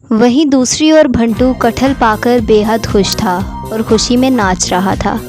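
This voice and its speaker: A woman's voice: a little high, unhurried, over music. A woman narrating a scripted story for children.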